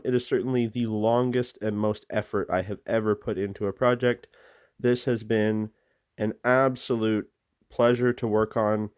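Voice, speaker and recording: Enthusiastic hobbyist man, smooth dry voice. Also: mid-range voice, darkish tone, no echo. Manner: rambling, filler-heavy, enthusiastic. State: losing his voice, a bit sick. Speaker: miniature hobby channel host. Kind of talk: casual show-and-tell walkthrough.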